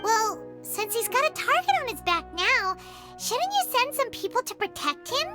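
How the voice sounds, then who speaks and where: Squeaky tiny fairy companion, very high. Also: female voice, big pitch swings, normal speed. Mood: inquiring. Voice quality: squeaky, childlike. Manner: chirpy, refers to self in third person. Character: tiny childlike female fairy companion, eager and curious. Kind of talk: anime game voice acting. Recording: music behind the voice.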